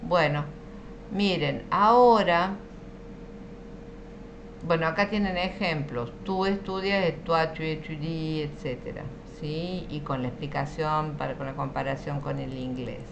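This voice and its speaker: A woman's voice: sits low, steady tone, measured pace, vocal fry, lo-fi sound, background noise. A woman with an Argentine accent teaching French in an online class, patient and explanatory, often checking that students follow. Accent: Argentine Spanish.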